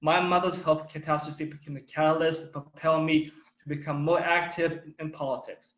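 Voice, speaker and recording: A young man with Thai as his first language, speaking slightly high, tells his own story as a testimony speech. He is earnest and emphatic, drawing out the words he wants to land. The recording is roomy and a little dull. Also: flat delivery, moderate pace, fairly bright tone. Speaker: community speaker.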